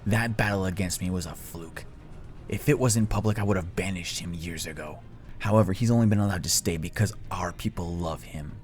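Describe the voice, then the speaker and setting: Man playing a hushed, menacing tyrant king. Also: low voice, soft background music, lightly acted. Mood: menacing, scheming. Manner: slow, grave, menacing, deliberate pauses. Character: cold, commanding, scheming middle-aged tyrant king and father.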